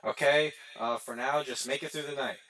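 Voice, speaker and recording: A man's voice: mid-range voice, fairly even intonation, normal speed, sharp tone, natural voice, poor audio. Guy playing a chatty night shift phone guy in a video game, hesitant n rambling, kinda evasive but reassuring. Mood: uneasy, urgent. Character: chatty, evasive male pizzeria employee leaving reassuring phone messages. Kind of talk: voicemail-style game monologue, casual and halting.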